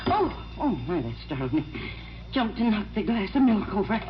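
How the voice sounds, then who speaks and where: A woman's voice: mid-range voice, big pitch swings, normal speed, lightly acted. An actress playing an anxious, fussy small-town lady in an old-time radio drama. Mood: flustered, anxious. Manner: fussy, fretful. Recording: dull vintage radio recording, clear hiss.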